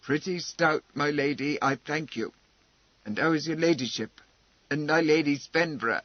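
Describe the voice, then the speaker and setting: Man doing an eager bowing innkeeper, husky voice, dull dry recording. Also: on the high side, normal speed, dry recording, lightly acted. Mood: deferential. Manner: deferential and eager to please. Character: obliging, deferential male innkeeper.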